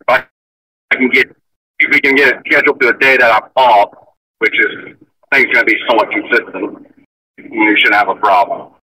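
A man chatting casually over an online call, speaking in a loose, slightly halting way. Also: medium pitch, fairly even intonation, bright, forceful tone, husky, poor audio. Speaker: guest calling in.

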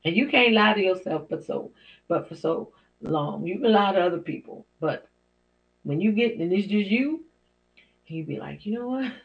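A woman's voice: animated, moderate pace, creaky. A middle-aged woman hosting her own show, talking in an encouraging, preacherly way with small laughs. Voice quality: deep for a woman. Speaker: host. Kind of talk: inspirational talk show monologue. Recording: dull lo-fi podcast audio.

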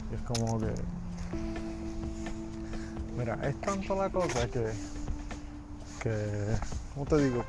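A man with a middle-pitched voice hosts his own podcast, talking straight into the mic in a loose, unscripted rant. He swears freely and keeps restarting his sentences, with music playing clearly behind him. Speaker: podcast host. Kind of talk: casual solo podcast rant. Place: United States.

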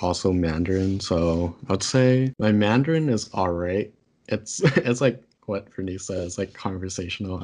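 A man's voice: deep voice, darkish tone. A young man, a podcast co-host whose first language is Taishanese, chatting casually with lots of fillers and self-corrections.

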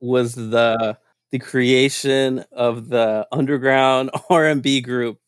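A man's voice: mid-range voice, fairly even intonation, slow pace, clear, resonant tone, no echo, clean studio sound. A man in his thirties hosting a casual chat, telling stories playfully, laughing and swearing freely. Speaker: host.

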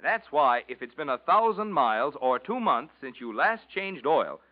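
A male announcer pitches the product briskly, in an old-time hard-selling style. His voice is fairly high and swoops sharply up and down. It comes through a dull, muffled old radio recording. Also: sing-song. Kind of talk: 1940s radio commercial.

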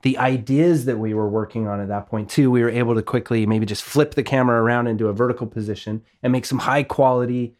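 A man's voice: mid-range voice, steady tone, fast talker, few pauses, a little mellow. A man co-hosting a casual podcast, upbeat and enthusiastic as he explains ideas. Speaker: podcast co-host, audio and video producer.

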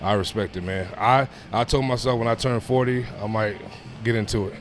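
A man's voice: medium pitch, fairly quick, faint music behind. A man in his thirties talking casually as a personal trainer being interviewed, informal, often dropping in "man" and "you know". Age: 30s. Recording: clear steady background noise.

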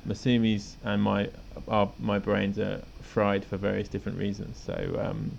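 Gravelly low-voiced male podcast host. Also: little pitch movement, moderate pace, a little mellow, highs cut off, slight background noise. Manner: laid-back, rambling, chuckles often. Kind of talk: casual chat.

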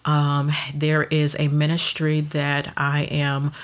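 A woman's voice: sits low, monotone, slow pace. A woman in her fifties from Detroit, a pastor and children's minister, chatting casually as an interview guest with lots of ums. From Detroit, USA. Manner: chatty, warm storytelling with many ums.

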